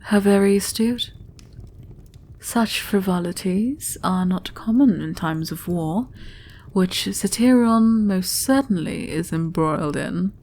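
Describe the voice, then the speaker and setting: A woman plays a fantasy queen with a soft, mellow voice. She is gracious yet shrewd, speaking in measured, formal sentences with regal composure, as in an audio drama. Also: mid-range voice. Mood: regal, composed. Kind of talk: fantasy audio roleplay acting.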